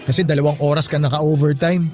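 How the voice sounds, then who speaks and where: An actor playing a teasing, devoted young best friend in a radio drama, with a playful, bantering delivery. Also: male voice, a little high, flat delivery, fairly quick, darkish tone, faint music behind, close to an everyday voice. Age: young adult. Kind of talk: Tagalog radio drama acting.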